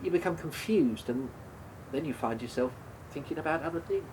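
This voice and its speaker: A man's voice: fairly high pitch, expressive, a little fast, noisy background, close to an everyday voice. An actor in a radio play voicing a guilt-ridden, apologetic man who stammers and hesitates as he speaks. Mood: regretful, confessional.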